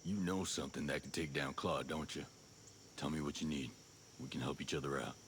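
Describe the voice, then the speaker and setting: An actor playing a calm special forces soldier in a video game, low-key, reassuring and businesslike. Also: male voice, a little fast, slight background noise. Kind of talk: video game cutscene acting.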